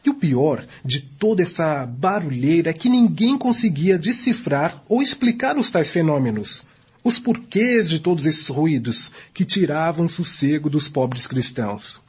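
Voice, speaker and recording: A man with a Brazilian accent playing an emphatic commentator in a scripted radio drama, with a very raspy voice. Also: fairly high pitch, expressive, a little slow, a little mellow, exaggerated voice. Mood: amazed, emphatic. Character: emphatic male commentator on spiritist history. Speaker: radio drama narrator and discussant. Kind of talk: scripted radio drama narration in dialogue form. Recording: dull, lo-fi audio.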